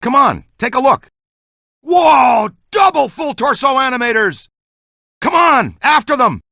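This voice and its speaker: An excitable ghost-hunting scientist for a video game. The voice is bright and forceful, with huge swings in pitch. He is eager and exclamatory, rattling off jargon and orders in a dry studio sound. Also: measured pace, dry recording, heavily acted. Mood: urgent, excited. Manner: animated, exclamatory, jargon-laden. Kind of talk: animated video game voice acting.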